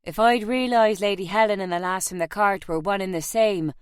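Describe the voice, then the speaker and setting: A woman voicing a tough but charming, flirtatious Irishman with a soft voice, in an audiobook story. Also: mid-range voice, fairly quick, clean tone, dry recording, studio quality, natural voice. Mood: warm, flirtatious.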